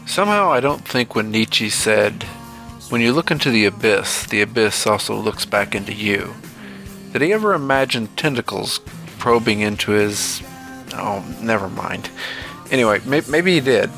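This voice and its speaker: A man's voice: on the low side, animated, moderate pace, sharp tone, faint music behind. A man reading scripted narration as the host and narrator of a horror fiction podcast.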